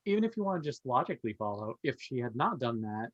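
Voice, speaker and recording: Guy on a podcast giving opinions, casual chat, middle pitch, really dry sound. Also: big pitch swings, fast, no echo, muffled recording. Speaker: podcast host. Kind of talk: casual TV review discussion.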